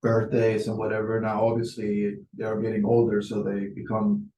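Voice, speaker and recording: A man's voice: fairly low pitch, completely flat delivery, normal speed, mellow, roomy sound, a little hiss or hum, low-quality recording. A man in his forties, from Venezuela, chatting casually as a client on a sales video call, hesitant and full of fillers. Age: 40s. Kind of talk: casual conversation.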